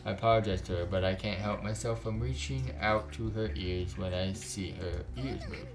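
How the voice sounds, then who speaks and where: A man's voice: on the low side, wildly swooping pitch, normal speed, over music. A man hosting a gaming video, reading a visual novel aloud with casual reactions.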